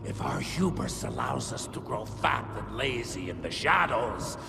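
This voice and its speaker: A coldly ambitious vampire lord from a video game, orates with measured grandeur. The voice creaks and swings widely in pitch, ringing through a long reverb with theatrical menace. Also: male voice, expressive. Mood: menacing pride. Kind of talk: dramatic video game cutscene acting. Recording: long reverb under loud music.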